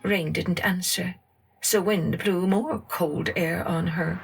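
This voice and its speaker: A woman narrating a scripted children's story in an expressive, dramatic storytelling manner. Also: lowish voice, slow, gravelly, faint music behind.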